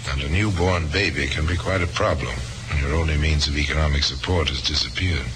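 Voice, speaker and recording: A man narrating a crime tale in an old-time radio drama as a grave, knowing storyteller, measured and dramatic. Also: a bass voice, background noise.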